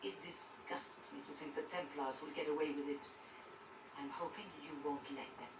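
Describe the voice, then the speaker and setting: An actress playing a composed, diplomatic leader of rebel mages in a fantasy video game, speaking in a formal, measured way. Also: female voice, low voice, fairly even intonation. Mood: composed, persuasive. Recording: echoey distant game capture, noisy.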